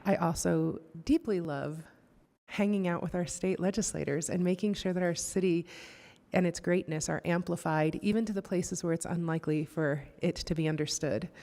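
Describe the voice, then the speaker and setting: A lowish woman's voice gives earnest remarks in a council meeting. She pauses and restarts now and then, and the chamber adds a long reverb. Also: vocal fry, warm tone. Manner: earnest, hesitant, with fillers and restarts. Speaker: council member.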